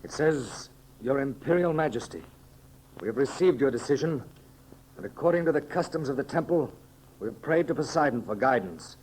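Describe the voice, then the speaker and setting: A noisy 1930s radio serial recording of a man acting as a noble young hero who has become an emperor. He speaks earnestly and haltingly, with melodramatic anguish, in a mid-pitched voice. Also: animated, darkish tone, natural voice. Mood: grave.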